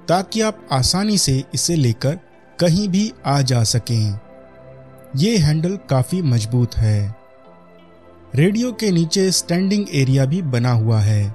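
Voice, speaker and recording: A man's voice: mid-range voice, darkish tone. A man with an Indian accent giving a product demonstration voice-over as a reviewer.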